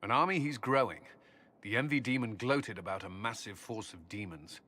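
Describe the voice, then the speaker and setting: An actor playing a stern military commander with an English accent, speaking firmly and earnestly in a fantasy video game. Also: male voice, fairly low pitch, moderate pace, sharp tone. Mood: stern concern. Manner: earnest, firm. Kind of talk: video game dramatic dialogue. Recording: clear background ambience noise.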